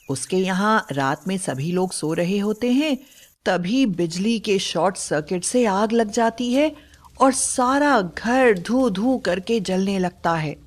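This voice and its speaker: A woman narrating a scripted moral story in a storybook style. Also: mid-range voice.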